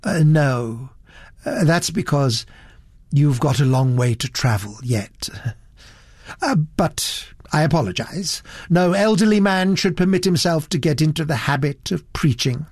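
A man voicing a cautious elderly gentleman who stammers and apologises in an audiobook story. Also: on the high side, expressive, a little slow, slightly rough, no echo, clean studio sound, pitched above the performer's own voice. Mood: weary, reflective. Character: fussy, cautious, hesitant elderly English gentleman.

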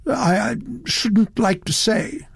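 A man voicing a cautious elderly gentleman who stammers and apologises in an audiobook story. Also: fairly high pitch, animated, unhurried, no echo, pitched above the performer's own voice. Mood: cautious, flustered. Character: fussy, cautious, hesitant elderly English gentleman.

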